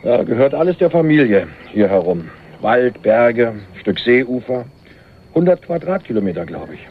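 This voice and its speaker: An actor playing a guarded, casual young heir in a radio crime drama, answering in clipped, offhand phrases. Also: male voice, medium pitch, very animated, normal speed, narrow, tinny audio, slight background noise, close to an everyday voice. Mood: casual, evasive. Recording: band-limited radio play recording.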